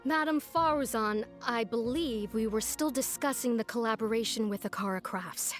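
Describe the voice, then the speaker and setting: Woman voicing a high-pitched, formal academic official. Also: faint music behind, lightly acted. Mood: insistent, weary. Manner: formal, measured, insistent. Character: stern, formal female academic official, patiently pressing. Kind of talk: video game character voice acting.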